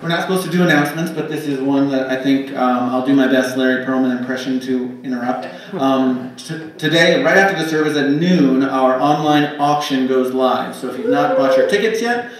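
A man's voice: medium pitch, moderate pace. A middle-aged man giving an informal announcement to a church congregation. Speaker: congregation member making announcement. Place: small church sanctuary, indoors. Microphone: pulpit microphone, picked up by distant camera. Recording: echoey church hall from far off.

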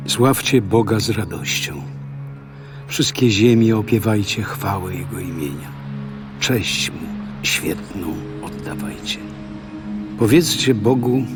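A man giving a dramatic, prayerful psalm recitation, expressive and sometimes hushed at phrase endings. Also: lowish voice. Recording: loud music behind the voice.

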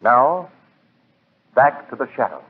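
A man's voice: medium pitch, very animated, takes it slowly, heavy background noise. A male radio announcer reading a 1940s advertisement with polished, emphatic salesmanship. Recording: tinny 1940s radio recording.

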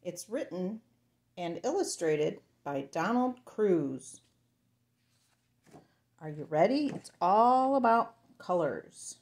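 Slow, animated woman reading to young children. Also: fairly low pitch. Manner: sing-song, playful, addresses small children directly. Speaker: children's storyteller. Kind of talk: read-aloud picture book for young children.

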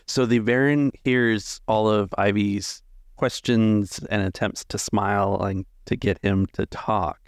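A man with a gravelly, creaky voice acting as game master, explaining rules and narrating a tabletop story. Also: lowish voice, steady tone, slow pace, no room sound, clean studio sound.

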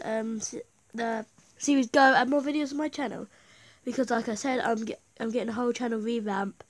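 A gaming YouTuber with a high voice, affected by a cold, giving casual, rambling, excitable gameplay commentary. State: has a bad cold.

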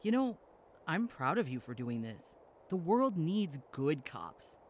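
An actor playing a detective's easygoing, teasing, grumbly best friend in an audio drama. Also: male voice, fairly high pitch, very animated, takes it slowly, a little hiss or hum.

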